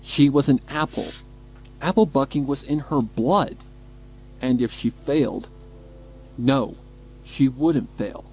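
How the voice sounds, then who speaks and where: A man narrating a dramatic scripted story in a measured, suspenseful manner. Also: mid-range voice, darkish tone, slightly rough, low-quality recording. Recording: music behind the voice. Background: music.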